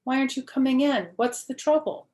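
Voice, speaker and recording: A woman's voice: sits high, animated, brisk, a little mellow, pitched above the performer's own voice. A woman voicing a concerned, motherly, slightly exasperated kindergarten teacher in a storybook. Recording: roomy, echoing home recording.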